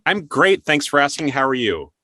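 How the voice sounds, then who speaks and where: A man's voice: a little high, very animated, moderate pace, pushed and bright, dry recording, studio quality. A man hosting an interview show, speaking in an upbeat, courteous, announcer-like way. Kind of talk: scripted show intro and interview.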